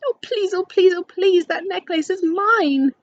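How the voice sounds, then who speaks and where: A woman's voice: very high-pitched, unhurried, pitched above the performer's own voice. A woman voicing an eager little girl pleading urgently, in a storybook reading. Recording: dull lo-fi recording.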